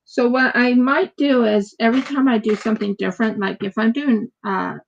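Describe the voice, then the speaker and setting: A woman's voice: on the high side, steady tone, moderate pace, vocal fry, muffled recording, a little hiss or hum. An American woman chatting casually and rambling as she crafts on a livestream, often repeating her words. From United States. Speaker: craft streamer.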